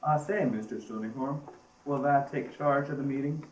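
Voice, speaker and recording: A man's voice: medium pitch, moderate pace, close to an everyday voice. A young teenage boy playing a stubborn English villager in an amateur school radio play. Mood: firm. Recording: echoey, far from microphone.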